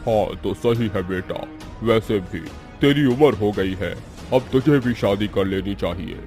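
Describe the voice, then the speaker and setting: A man's voice: on the low side, a little slow, a little mellow, soft background music. A man voicing an indulgent middle-aged ghost father in a cartoon, fond and matter-of-fact. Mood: encouraging. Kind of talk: Hindi cartoon dubbing.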